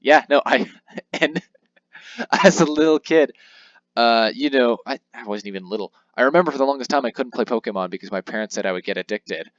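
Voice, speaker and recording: A man's voice: medium pitch, a little fast, dry recording, lo-fi sound. A young man casually commenting on his playthrough of a handheld game, rambling and poking fun at himself. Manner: rambling, self-deprecating, reads game text aloud in voices. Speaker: let's play host.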